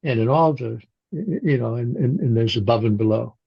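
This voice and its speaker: Older man, interview guest, gravelly, husky, halting speech, dry muffled audio. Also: medium pitch, expressive, no echo. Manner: halting, with frequent restarts and fillers. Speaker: guest, Jungian analyst and shamanic practitioner. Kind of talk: reflective interview conversation. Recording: muffled, dull-sounding audio.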